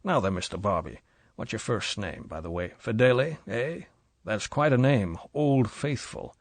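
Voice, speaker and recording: A man's voice: fairly low pitch, animated, moderate pace, no echo. A man voicing a bullying rich American yacht owner who talks in a mocking drawl, with an American accent.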